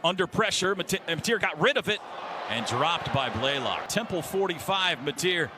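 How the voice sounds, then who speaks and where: A man's voice: on the high side, very animated, moderate pace. A man with an American accent calling football play-by-play on radio in clipped short phrases. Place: stadium broadcast booth. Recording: live radio sportscast with faint noise.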